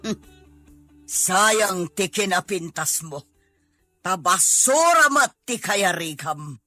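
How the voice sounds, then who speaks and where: A woman's voice: lowish voice, animated, slow pace, sharp tone, soft background music, full character voice. A woman with an Ilocano Filipino accent playing a scornful middle-aged mother in a drama, disdainful and accusing. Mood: contemptuous. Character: haughty, scornful middle-aged mother guarding her son. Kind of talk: radio-style comedy drama acting.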